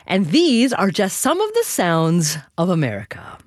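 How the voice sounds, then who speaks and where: A woman's voice: on the low side, wildly swooping pitch, a little slow, over music. A woman hosting a public radio interview show, conversational and repeating words as she thinks aloud on a studio microphone.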